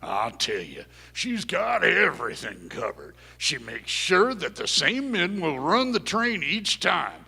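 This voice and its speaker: An actor playing a chatty, bragging outlaw cowboy in a live Western radio play, with a folksy drawl. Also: male voice, fairly high pitch, sing-song, slow, gravelly, few pauses, pushed and bright, slightly rough, exaggerated voice. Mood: boastful, confiding. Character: chatty, boastful male cowboy outlaw gang member. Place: performing arts theater stage. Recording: very reverberant hall, stage performance.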